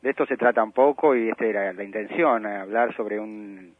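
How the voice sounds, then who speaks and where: A man's voice: lowish voice, fairly quick, no echo. Argentine guy being interviewed over the phone, tinny line, kinda feels for his words and repeats himself. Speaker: theatre director, interview guest. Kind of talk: phone interview, explaining conversationally. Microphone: telephone. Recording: thin, narrow-band telephone line.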